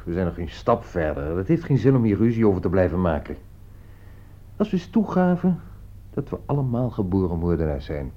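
A level-headed male writer in a radio play, dry and a little mocking. The voice is deep yet animated, caught on a dull recording with slight noise. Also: a little fast. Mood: reasoning. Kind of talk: Dutch radio play acting.

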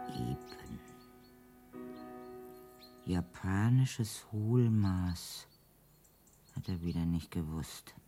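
Very deep, slow, gravelly weary carer. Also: male voice, dark tone, exaggerated voice. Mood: dogged listing. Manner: muttering, repetitive listing of chores and clues. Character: weary, grumbling carer doing housework and crosswords. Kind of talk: radio play monologue.

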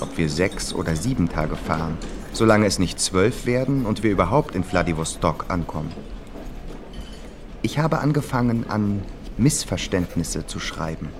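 A man's voice: fairly low pitch, vocal fry, noisy background. A man playing an observant traveller, reading diary entries as narration in a radio play.

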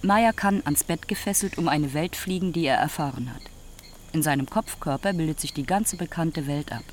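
A performer playing a grave, reflective narrator in a literary radio drama, speaking in measured, short statements. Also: mid-range voice, slight background noise, lightly acted. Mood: solemn. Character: grave, reflective narrator recounting a dying friend.

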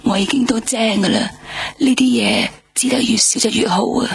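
An actress playing a weary young nightclub hostess in a radio drama, speaking Hong Kong Cantonese, tired and defensive, sometimes dropping to a whisper. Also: female voice, fairly high pitch, normal speed, creaky. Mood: weary, bitter.

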